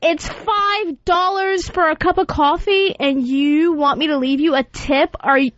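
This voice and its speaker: A woman's voice: high voice, fairly even intonation, a little slow, a bit bright, dry recording, muffled recording. A woman hosting a radio call-in show with casual, profane, brash and blunt ranting talk.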